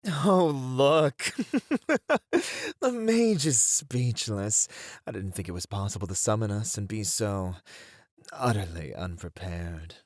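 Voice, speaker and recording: A performer voicing a flirty, playfully mocking demon in an ASMR roleplay, with a drawn-out, purring delivery. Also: medium pitch, animated, no room sound, studio quality. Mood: mocking, amused.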